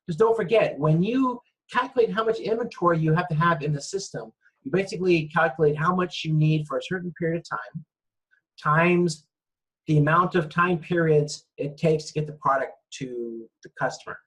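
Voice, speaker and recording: A man's voice: fairly high pitch, moderate pace, a bit bright, poor audio. A man lecturing informally over slides, explaining things in a conversational way and often checking in. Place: online lecture with screen-shared slides.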